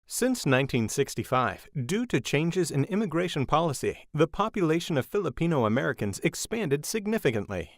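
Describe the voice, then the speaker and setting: A man's voice: on the high side, very animated, fairly quick, few pauses, no echo, clean studio sound. A man reading scripted documentary narration.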